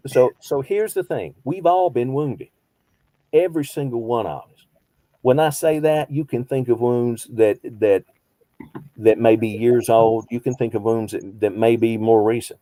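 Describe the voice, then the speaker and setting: Mellow-voiced man in his fifties. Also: medium pitch, a little slow, dry recording, rough recording. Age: 50s. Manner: asks rhetorical questions, repeats phrases for emphasis. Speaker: behavioral health counselor. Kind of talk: conversational group teaching.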